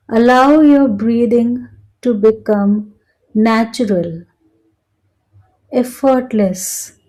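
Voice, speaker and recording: A woman guiding a meditation in a calm, soothing manner, speaking in short phrases with long pauses between them. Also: on the high side, rough recording. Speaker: meditation guide. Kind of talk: slow guided meditation narration.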